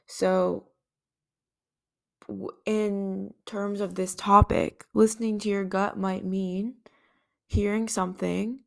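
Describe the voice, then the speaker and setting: A woman's voice: mid-range voice, little pitch movement, slow, vocal fry, pauses often. A woman hosting a podcast on her own, talking in an earnest, reflective way and thinking aloud with some restarts. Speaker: podcast host. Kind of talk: reflective solo podcast talk.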